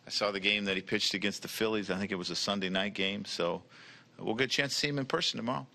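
A man in his forties, a baseball manager, answering reporters at a press conference table mic, measured and often repeating words as he starts. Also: fairly low pitch, creaky, pushed and bright. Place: press room in front of a team backdrop. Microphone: table mic with a logo flag.

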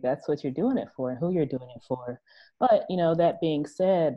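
A woman moderating a panel discussion over a video call, speaking in a relaxed, conversational way with many fillers. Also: extremely low pitch, no echo, dull audio.

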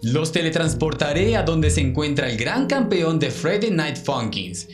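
A man's voice: fairly high pitch, big pitch swings, measured pace. A man voicing a friendly, polite robot athlete in a cartoon dub, courteous and formal. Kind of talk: fan-dub cartoon acting in Spanish. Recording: roomy echo, faint music behind.

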